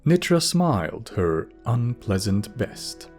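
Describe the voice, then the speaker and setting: A man's voice: medium pitch, very animated, slow, a little mellow, faint music behind. A man narrating a scripted fantasy story as an audiobook, reading descriptive third-person prose.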